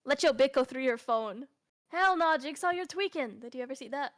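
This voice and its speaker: A woman's voice: high voice, lively intonation, a little fast. A woman guest in a casual chat, laughing often and rambling in slangy speech. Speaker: guest streamer.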